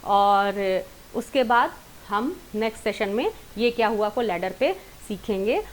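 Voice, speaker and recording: A woman's voice: medium pitch, expressive, measured pace. A woman with an Indian accent giving an informal singing lesson online, explaining directly to viewers and mixing in English terms. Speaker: singing teacher.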